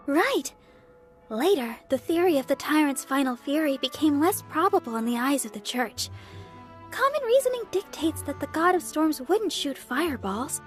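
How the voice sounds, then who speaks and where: A woman playing a sweet, earnest young church member in a video game, polite, bubbly and helpful. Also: high voice. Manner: polite, bubbly, thinks aloud. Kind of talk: video game character acting.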